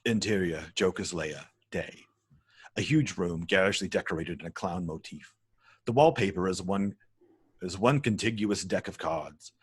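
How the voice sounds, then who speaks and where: Deep, husky male narrator. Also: normal speed, no echo. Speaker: narrator reading stage directions. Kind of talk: scripted narration at a table read.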